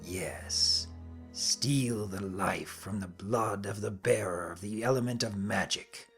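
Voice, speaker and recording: Man playing a smug, slow-talking pony cult leader. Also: fairly low pitch, a bit bright, soft background music, pitched below the performer's own voice. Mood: hushed, reverent. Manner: slow, smug, formal and menacing.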